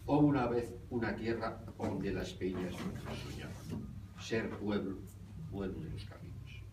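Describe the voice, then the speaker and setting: Deep-voiced man reciting poetry. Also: unhurried. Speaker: poetry reader. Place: cultural center hall with an audience. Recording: echoey hall from far off, noisy.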